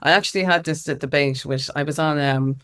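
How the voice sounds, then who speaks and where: A woman's voice: low voice, a little fast, a bit bright. A woman with an Irish accent speaking informally and emphatically as an interview guest, telling anecdotes and acting out what others said. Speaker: interview guest, music consultant and campaigner. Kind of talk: conversational interview with animated anecdotes.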